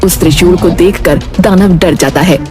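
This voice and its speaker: A woman's voice: fairly low pitch, moderate pace. Woman narrating a story over music. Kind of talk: scripted Hindi story narration.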